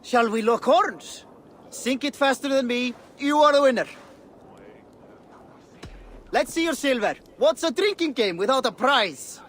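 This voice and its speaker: Casting: jovial Viking drinking challenger, very high male voice, game banter. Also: animated, a little slow, long pauses, fairly bright tone, slight background noise, heavily acted. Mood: hearty, challenging. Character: hearty, challenging Viking drinking-contest rival. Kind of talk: video game acting.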